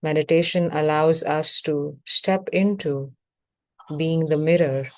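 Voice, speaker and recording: A woman leading a guided meditation as facilitator, speaking in measured short phrases with pauses between them. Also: sits low, steady tone, no room sound.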